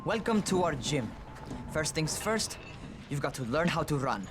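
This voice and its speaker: A man's voice: a little high, sing-song, normal speed, music in the background. An actor playing a cocky, reckless young man in a video game, brash and teasing like a younger brother turned trainer. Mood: goading.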